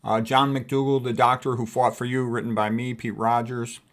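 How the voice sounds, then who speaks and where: A man's voice: mid-range voice, little pitch movement, moderate pace. A man, a physician, explains points in an informal lecture, with an emphatic, opinionated manner and plain repetition. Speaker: physician presenting health lecture, author. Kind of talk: informal explanatory lecture reading and commenting on quotes.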